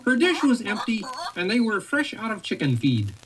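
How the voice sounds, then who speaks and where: Female storybook reader, playful and chatty, very lively pitch, noisy home recording. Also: normal speed. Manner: chatty and playful, talking to someone turning the pages. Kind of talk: read-along story narration with casual asides.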